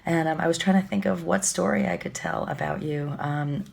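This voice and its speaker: A woman in her late forties with a cold, leaving a halting, soft-spoken video message for a friend. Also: deep voice, fairly even intonation, gravelly. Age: late 40s. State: has a cold, scratchy voice.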